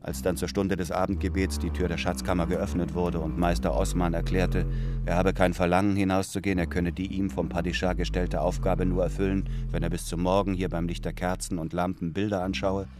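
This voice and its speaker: Man playing earnest wistful storyteller, deep low voice, measured reflective delivery, radio play, music underneath. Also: little pitch movement, lightly acted. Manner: measured, reflective first-person narration. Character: earnest male apprentice illustrator, wistful narrator. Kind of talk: literary radio drama narration.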